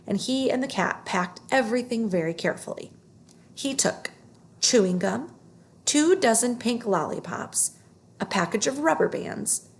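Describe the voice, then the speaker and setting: A woman narrates a children's book aloud. Her voice sits at a medium pitch with a noticeable creak. She reads expressively and takes care with the phrasing. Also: a little hiss or hum.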